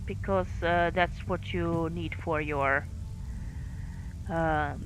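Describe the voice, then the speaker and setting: A woman from Finland with a light Finnish accent, commentating her own live game stream, halting and filling gaps with fillers as she thinks aloud while playing. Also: low voice, steady tone, slight background noise, low-quality recording. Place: home in France.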